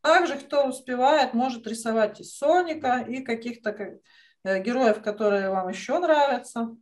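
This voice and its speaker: A woman's voice: a little high, moderate pace, a little mellow. A woman teaching children drawing in an online lesson, speaking in an encouraging way full of praise. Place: indoors, on a video call. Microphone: video call microphone.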